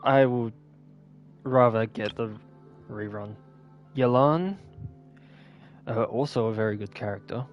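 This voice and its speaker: Slow-paced man casually rambling about gaming. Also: medium pitch, muffled recording, soft background music. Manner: rambling, offhand. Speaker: gaming video host. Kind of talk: casual unscripted gameplay commentary.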